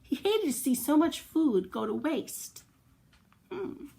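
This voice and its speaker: A woman's voice: sits high, big pitch swings, slow, gravelly, dark tone, a little hiss or hum. A woman reading a picture book aloud to young children, expressively, with playful asides, questions and little sound effects. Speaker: children's storyteller.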